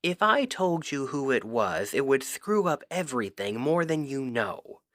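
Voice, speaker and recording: A man's voice: mid-range voice, big pitch swings, measured pace, a bit bright, dry recording, clean studio sound. A man playing an exasperated, secretly smitten young Cupid best friend in a conversational, emphatic ASMR roleplay. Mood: agitated, insistent. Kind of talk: ASMR roleplay audio drama, one-sided conversation.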